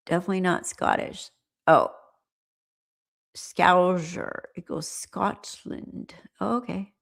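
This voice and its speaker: Chatty American woman with gravelly fry. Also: lowish voice, slow pace. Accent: General American. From United States. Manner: chatty, animated, rambling. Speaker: livestream host. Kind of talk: casual livestream chat.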